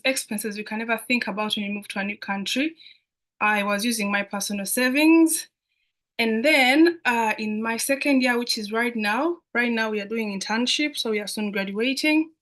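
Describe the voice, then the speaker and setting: A woman's voice: a little high, fairly bright tone. A young woman from Uganda, a student guest on a live online Q&A, speaking over a video call and talking hesitantly with many fillers and restarts.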